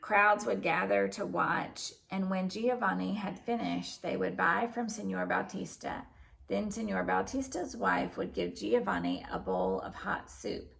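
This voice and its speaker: A woman narrates a children's storybook aloud. Her voice has heavy vocal fry, and her pitch moves expressively through the sentences at a middle register. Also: lively intonation, measured pace, fairly bright tone, slight background noise, low-quality recording. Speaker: storybook reader.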